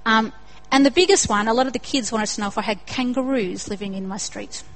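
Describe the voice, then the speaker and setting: A woman's voice: fairly high pitch, fairly quick, rough recording. A young woman with an Australian accent preaching a sermon in an earnest way, building to emphatic repetition.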